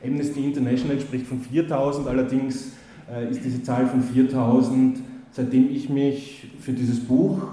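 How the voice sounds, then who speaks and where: A man's voice: mid-range voice, warm tone. Guy lecturing, lots of ums and restarts, super echoey room. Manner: hesitant, full of "äh" and restarts, reads quotes aloud. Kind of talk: spoken lecture with many fillers. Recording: very echoey hall.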